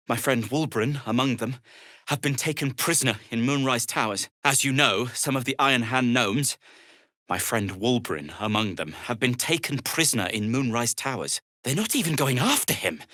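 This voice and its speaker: A world-weary little gnome from a fantasy video game. He is prim and wry, forever exasperated, phrasing things formally. His intonation swings widely at a medium pitch, and the sound is very dry. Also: sharp tone, no room sound. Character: fussy, sardonic, world-weary male gnome. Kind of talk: video game character dialogue.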